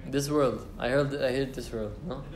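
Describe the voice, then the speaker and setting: A man's voice: medium pitch, steady tone, moderate pace, darkish tone, reverberant, a little hiss or hum. A young man giving an informal class on the Quran, talking casually with fillers and restarts and reciting Arabic verses. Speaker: Quran study teacher. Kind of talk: informal tafsir class talk. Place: university lecture room.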